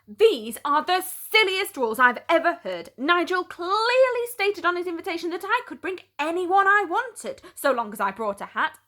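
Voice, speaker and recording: A woman voicing an eager, easily exasperated party guest in a storybook, insistent and expressive. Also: extremely high pitch, voice pushed up, full character voice. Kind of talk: storybook read-aloud character.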